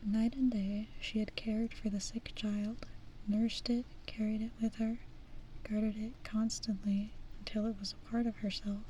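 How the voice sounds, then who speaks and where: A woman whispers softly into a close mic, reading slowly in a flat, even tone. Her voice stays hushed, with gentle self-deprecating asides between passages. A faint hiss sits under the recording. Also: medium pitch, poor audio. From American Midwest. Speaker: ASMR streamer.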